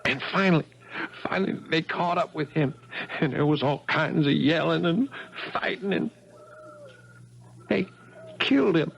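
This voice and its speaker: Timid stammering Southern bumpkin, animated. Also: male voice, on the high side, creaky, muffled recording. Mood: scared, rambling. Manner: stammering, slow-witted, questioning. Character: simple-minded, timid, confused country brother. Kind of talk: 1970s radio mystery drama acting. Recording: noisy old radio broadcast.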